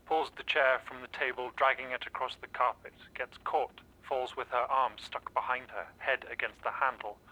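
Man acting a tense, sharp-voiced watchful narrator. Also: medium pitch, fairly even intonation, normal speed, few pauses, lightly acted, poor audio. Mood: hushed, somber. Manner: clipped, rhythmic short phrases. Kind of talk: dramatic present-tense narration, audio drama.

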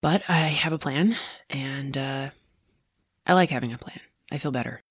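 A determined amateur ghost hunter in a naturalistic audio drama. The voice is mid-pitched and recorded very dry. The talk rambles and interrupts itself, wry and confiding. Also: moderate pace, a bit bright, slightly rough, no room sound. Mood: tentative, determined. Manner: conversational, wry, self-interrupting.

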